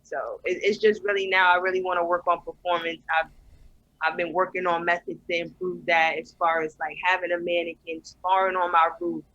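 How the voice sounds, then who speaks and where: A soft-spoken man with a New York accent, a battle rapper talking casually as a guest in an interview. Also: sits high, flat delivery, brisk, dry recording. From United States. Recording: dull, muffled dry audio.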